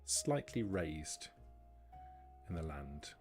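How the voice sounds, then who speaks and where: Deep, slow-speaking male game master. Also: big pitch swings. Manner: measured, scene-setting delivery in short phrases. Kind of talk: slow atmospheric tabletop game narration. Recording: background music under voice.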